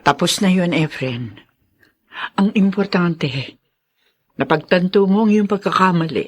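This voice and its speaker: A woman's voice: fairly low pitch, animated, measured pace, slightly rough. A woman playing a forgiving, prayerful older mother in a Filipino radio drama. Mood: gentle, forgiving.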